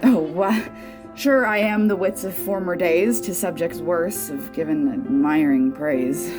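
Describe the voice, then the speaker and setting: A woman's voice: fairly low pitch, a little slow, over loud music. A young woman performing a Shakespeare sonnet as a dramatic monologue. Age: young adult.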